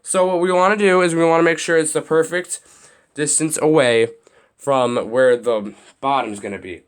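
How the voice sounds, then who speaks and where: Young male hobby host, a bit raspy, rambling how-to walkthrough. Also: a little high. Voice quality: slightly raspy. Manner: loose, rambling explanations with many restarts and fillers. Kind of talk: casual how-to demonstration.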